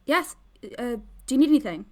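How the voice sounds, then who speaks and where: A woman's voice: sits high, animated, a little fast, darkish tone. A woman acting in an audio drama as a caring, tentative young woman looking after her partner. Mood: caring, attentive.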